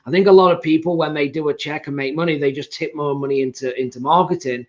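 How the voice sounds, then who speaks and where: A man's voice: on the high side, fairly even intonation, fast. Explaining man, dull lo-fi audio. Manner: explanatory, tags points with "right?". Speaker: co-host real estate investor. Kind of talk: casual live panel chat. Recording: dull lo-fi sound.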